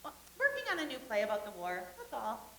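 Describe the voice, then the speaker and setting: A gushing, naive young actress, played by a woman, talks about herself with giggles. Her voice is high and bright, and the room rings with echo. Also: huge pitch swings, fast, heavily acted. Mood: dreamy. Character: vain, starry-eyed young stage actress, woman. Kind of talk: staged play reading. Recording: echoey room.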